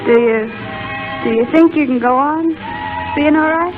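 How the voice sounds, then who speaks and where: Aktorka jako oddana dziewczyna z rewii, bardzo wysoki głos. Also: female voice, high voice, moderate pace, close to an everyday voice. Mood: tender, reassuring. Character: devoted, anxious showgirl sweetheart of a faltering comedian. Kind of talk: 1930s radio drama acting. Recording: dull vintage 1930s radio recording with music.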